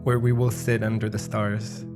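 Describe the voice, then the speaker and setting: A man hosting and narrating a podcast in a calm, measured, reflective way. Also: on the low side. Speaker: podcast host. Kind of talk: scripted narration. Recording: narration over guitar music.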